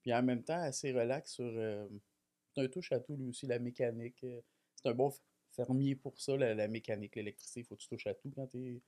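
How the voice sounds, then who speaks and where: A man in his thirties with a Quebec French accent, a podcast guest telling his life story in a relaxed, self-deprecating way with many fillers. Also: mid-range voice, brisk. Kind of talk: casual chat.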